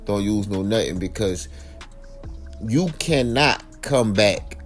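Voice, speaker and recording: A low-pitched American man narrates true crime in an informal, opinionated way. He addresses viewers directly in colloquial speech and leans on repeated phrases for emphasis. Music plays behind his voice. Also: lively intonation, slow pace. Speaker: true crime commentary host. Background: background music.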